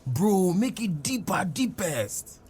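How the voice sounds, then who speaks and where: A man's voice: sits high, very animated, slow pace, loud music behind the voice, pitched above the performer's own voice, exaggerated voice. A man voicing an effusive, pushy male fan with a Nigerian accent, insistently repeating words in a comic story. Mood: eager, insistent. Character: excitable, pushy adult male fan, gushing. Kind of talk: acted dialogue in comic storytelling.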